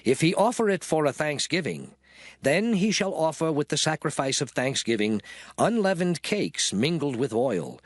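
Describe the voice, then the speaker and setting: A man giving a solemn, declarative, measured dramatized reading of old scripture. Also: fairly high pitch, big pitch swings, dry recording, clean studio sound. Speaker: scripture reader. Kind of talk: dramatized King James Bible reading, scripted. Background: music.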